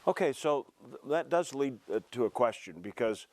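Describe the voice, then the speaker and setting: A man's voice: medium pitch, expressive, normal speed, a little mellow, no echo. An American man hosting an interview show, putting questions to his guest in a conversational style. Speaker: podcast host, interviewer.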